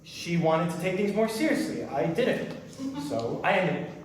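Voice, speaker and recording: A man's voice: high-pitched, very animated, normal speed. An actor playing an aloof, cynical, mysterious man on a date, terse and matter-of-fact, in a staged play reading. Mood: cool, teasing. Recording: distant mic in roomy echo, hissy noise.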